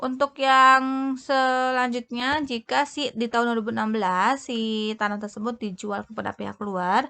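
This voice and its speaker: A woman explaining as an accounting tutor, in a halting, hesitant delivery with drawn-out words. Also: on the high side, gravelly, a bit bright.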